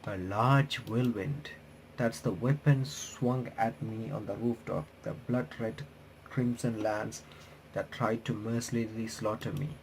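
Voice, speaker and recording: A man's voice: fairly low pitch, steady tone, creaky, a little mellow, slight background noise, low-quality recording. A man reading visual novel text aloud as a playthrough host, with casual asides, often stumbling and restarting his sentences. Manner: halting, stumbles over words and restarts.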